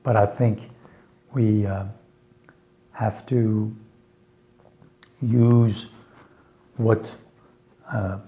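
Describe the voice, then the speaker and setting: A man whose first language is Farsi, giving a slow, earnest lecture for English learners with many pauses and fillers. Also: lowish voice, fairly even intonation, warm tone. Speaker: teacher.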